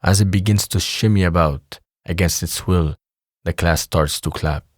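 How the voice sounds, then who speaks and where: A man's voice: low-pitched, normal speed, no room sound, clean studio sound. A man reading scripted scene narration for an audio drama.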